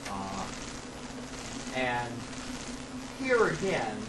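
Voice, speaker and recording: A man lectures from a written academic paper at a slow, deliberate pace. He hesitates often, leans on key words and breaks off for informal asides. The classroom recording has clear background noise, and his voice sits at an average male pitch. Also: wildly swooping pitch. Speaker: lecturer presenting own paper.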